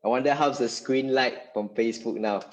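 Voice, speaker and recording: A man's voice: mid-range voice, fairly even intonation, fairly quick. A man with a Malaysian accent hosting a casual live stream, chatting and code-switching, repeating himself as he waits for viewers.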